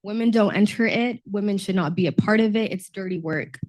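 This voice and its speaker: A woman's voice: mid-range voice, a little fast, darkish tone, dull audio. Young woman with a cold. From Texas, United States. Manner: earnest, storytelling with frequent fillers. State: slightly sick from a cold. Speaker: junior diplomat panelist. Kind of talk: panel discussion.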